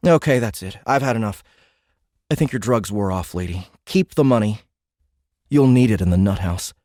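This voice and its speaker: A man's voice: fairly low pitch, animated, moderate pace, a little mellow, no room sound, studio quality, lightly acted. An actor playing a sarcastic, indignant American teenage boy in an audiobook drama, talking in exasperated slang. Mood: angry, mocking.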